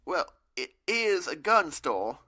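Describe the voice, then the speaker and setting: Woman playing a bright, folksy survivor leader. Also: fairly low pitch, big pitch swings, slow pace, exaggerated voice. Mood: practical. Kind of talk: audio drama acting.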